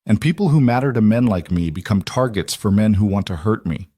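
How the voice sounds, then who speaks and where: Man acting as powerful mafia boss, low voice, grave, measured, protective, romance audiobook, dry sound. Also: expressive, dark tone, no echo. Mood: measured warning. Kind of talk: dramatised audiobook dialogue.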